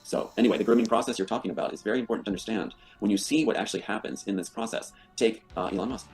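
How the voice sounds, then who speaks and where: Man, very low voice, rapid halting talk, grandiose, faint music behind. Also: vocal fry, low-quality recording. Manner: hesitant, full of uh and um, grandiose. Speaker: guest interviewee. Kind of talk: rambling interview answers.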